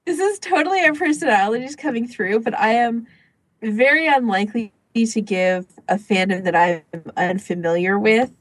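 Husky woman chatting on a podcast, keeps cutting into her own sentences, really dry recording. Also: on the high side, moderate pace, no echo. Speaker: podcast co-host. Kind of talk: casual chat.

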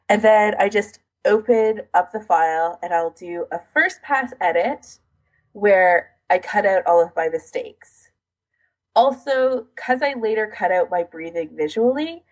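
A woman chats casually as a podcast co-host, walking through things in detail with an informal, meandering flow. Her voice sits at a medium pitch and has a husky, slightly breathy grain. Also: a little mellow, poor audio. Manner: chatty, explains in detail with frequent 'like'.

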